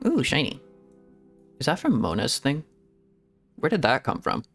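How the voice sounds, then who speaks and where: A man's voice: medium pitch, wildly swooping pitch, measured pace, gravelly, a bit bright, soft background music. A man streaming a game, rambling to himself in casual commentary and giggling often. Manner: rambling self-talk with frequent giggles. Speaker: VTuber streamer.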